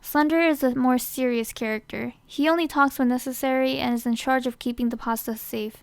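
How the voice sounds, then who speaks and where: Female casting-call host: smooth high voice, scripted explainer delivery, dry close sound. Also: steady tone, a little fast, no room sound. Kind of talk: scripted narration.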